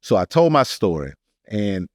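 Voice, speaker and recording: A man's voice: on the low side, very animated, moderate pace, no echo, clean studio sound. A man with an American accent telling a personal story in a casual, earnest and emphatic podcast style. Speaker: podcast speaker, artist telling his story.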